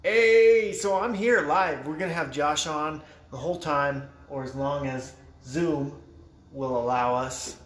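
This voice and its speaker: A man's voice: fairly high pitch, a little slow. Chatty young-sounding man, echoey room. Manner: loose, chatty, swears casually. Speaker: host. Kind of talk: casual livestream podcast chat. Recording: echoey room with faint noise.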